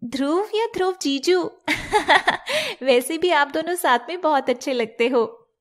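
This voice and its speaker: A girlish little-sister character acted by a woman in a cartoon fairy tale. Her voice is very high and she is affectionate and teasing. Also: animated, slow. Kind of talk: dramatized animated fairy-tale acting.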